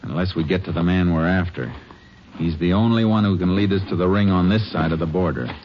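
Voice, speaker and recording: Very deep-voiced, terse Texas Ranger played by a man. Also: a little fast, a little hiss or hum, natural voice. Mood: grim, focused. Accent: American. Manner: terse, matter-of-fact. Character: steady, determined male Texas Ranger, narrating his case. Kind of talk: 1950s radio drama acting and narration. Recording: muffled old radio recording, loud music.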